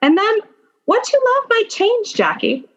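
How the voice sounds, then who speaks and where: A woman's voice: very high-pitched, huge pitch swings, measured pace. Woman in her fifties, dull lo-fi audio. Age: 50s. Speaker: interview guest, lawyer. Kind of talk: conversational personal storytelling.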